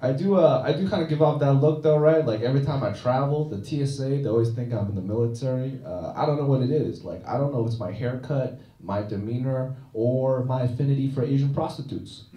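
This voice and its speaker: A man's voice: mid-range voice, fairly quick, hardly any pauses, reverberant, far from the mic, dull audio. A man doing a stand-up comedy set with a dry, self-deprecating delivery and frequent "uh" restarts, recorded on a comedy club stage. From China.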